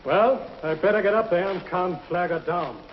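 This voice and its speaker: Actor as a brisk authoritative warden, fairly high voice, old TV sci-fi, muffled. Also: male voice, a little hiss or hum, natural voice. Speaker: prison warden. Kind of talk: 1950s TV drama acting. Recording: dull lo-fi 1950s TV soundtrack.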